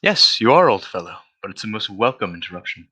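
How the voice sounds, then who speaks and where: A man playing a brisk, self-assured gentleman detective in a 1940s-style radio drama, speaking precisely with a lightly condescending, lecturing air. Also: fairly low pitch, big pitch swings, slightly rough, heavily acted. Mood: businesslike.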